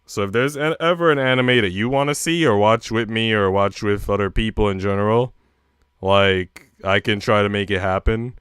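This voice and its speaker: A man's voice: lowish voice, steady tone, normal speed, fairly bright tone, clean tone, dry recording. A young man hosting a livestream, speaking informally into a close mic. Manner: slangy, swearing, informal. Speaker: livestream host. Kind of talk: casual livestream chat.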